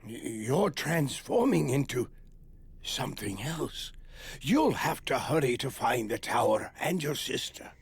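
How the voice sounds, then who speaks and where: An actor playing a remorseful, mysterious helper in a game, with a husky, breathy, creaky voice and halting, urgent delivery. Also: male voice, medium pitch, big pitch swings, no room sound, heavily acted. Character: remorseful mysterious man offering help.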